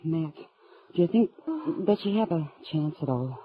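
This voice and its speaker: A woman's voice: deep voice, expressive, moderate pace, a little hiss or hum. An American actress playing a worldly, tender-hearted saloon woman in a 1950s radio Western, emotive and hesitant. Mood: anxious, tender. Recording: dull vintage 1950s radio recording.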